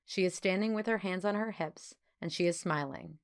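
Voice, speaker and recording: A woman reading a children's story as an audiobook narrator, with clear phrasing and expressive delivery. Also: on the low side, moderate pace.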